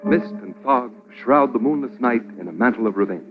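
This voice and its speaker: A man's voice: medium pitch, expressive, fairly quick, music in the background. A man narrating an old-time radio drama as its announcer, in dramatic scripted style. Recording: dull, slightly noisy old radio recording.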